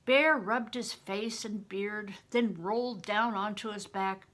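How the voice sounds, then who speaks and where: An older woman reading a storybook aloud as a grandmother would, deliberate and careful, narrating expressively. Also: mid-range voice, a bit bright. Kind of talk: expressive read-aloud narration with questions to listeners.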